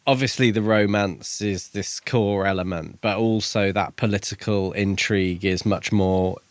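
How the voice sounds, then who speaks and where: Hesitant lower-pitched man, dry sound. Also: steady tone, moderate pace, no echo. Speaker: podcast co-host. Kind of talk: casual book discussion.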